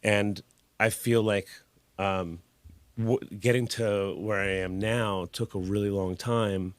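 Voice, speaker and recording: A man's voice: lowish voice, steady tone, slow pace, no room sound. A man in his forties, a guest artist telling his story in a candid, laid-back way with casual swearing. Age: 40s. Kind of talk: casual interview conversation.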